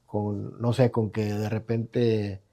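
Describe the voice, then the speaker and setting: A man's voice: on the low side, level tone, slow, gravelly, warm tone. A middle-aged man with a Mexican Spanish accent, a guest on a podcast in his studio, chatting casually with frequent restarts and tag questions. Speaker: guest entrepreneur. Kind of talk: casual interview talk.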